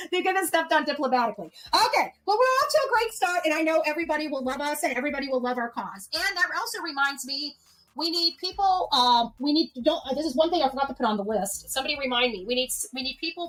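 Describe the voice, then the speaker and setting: A woman with a fairly high voice speaks off the cuff as a livestream host. She is earnest and exhortatory, urging her followers on like an organizer and often tagging her points with a quick check for agreement. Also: animated, a bit bright, low-quality recording. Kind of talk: unscripted livestream address to followers.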